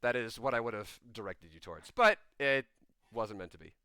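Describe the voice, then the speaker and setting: A man's voice: on the low side, expressive, a little fast, bright, forceful tone, clean tone, no echo, clean studio sound. A man running a social deduction game who explains his rulings at length in casual chat and laughs often.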